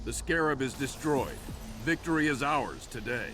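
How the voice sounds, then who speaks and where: A man's voice: mid-range voice, animated, moderate pace, background noise, close to an everyday voice. A man playing an authoritative warship captain in a video game, giving clipped orders. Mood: solemn. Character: commanding, terse male warship captain. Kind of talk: video game cutscene acting.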